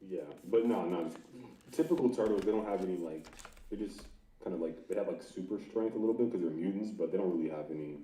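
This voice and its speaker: A man with a very low, mellow voice hosts a casual podcast chat. His talk is slangy and full of bro. The recording is roomy and echoey with some background noise. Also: quick pace. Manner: slangy, says bro often. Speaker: podcast host. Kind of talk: casual chat about anime.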